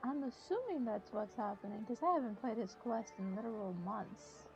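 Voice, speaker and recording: A young woman chatting casually while she plays and comments on a game, recorded on a low-quality mic. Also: lively intonation, moderate pace, darkish tone, highs cut off, soft background music. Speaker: gamer playing and commenting. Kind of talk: casual chat over gameplay. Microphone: voice call with a friend during gameplay.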